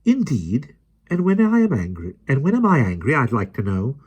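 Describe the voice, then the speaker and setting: A man's voice: mid-range voice, huge pitch swings, measured pace, husky, highs cut off, voice pushed up, exaggerated voice. Mary Poppins, a haughty prim nanny, as a man puts her on. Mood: indignant. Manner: haughty, clipped, indignant. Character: stern, prim, easily offended female nanny.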